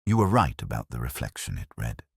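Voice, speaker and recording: Male narrator for literary detective fiction: extremely low voice, expressive swings in pitch, dry close sound. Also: creaky, slightly rough, no room sound. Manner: measured, literary, melancholy delivery. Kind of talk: scripted first-person audiobook narration.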